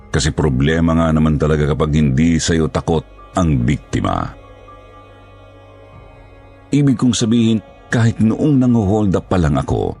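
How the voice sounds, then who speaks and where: A man's voice: low voice, a little mellow. A man playing an ex-robber who narrates his own horror story in a scripted first-person style. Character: male ex-holdupper recounting his kidnapping horror story.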